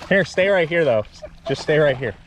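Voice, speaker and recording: Animated young man, noisy outdoor recording. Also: fairly high pitch, moderate pace. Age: young adult. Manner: playful, joking, exclaiming. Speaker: fishing vlog host. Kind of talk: casual excited fishing commentary. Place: outdoors wading in open shallow water. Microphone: action camera, handheld or body-worn.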